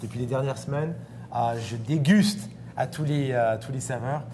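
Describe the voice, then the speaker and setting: A man hosting a casual on-location interview, often halting as he searches for words. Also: mid-range voice. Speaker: interviewer and host. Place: restaurant. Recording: clear background noise and hum.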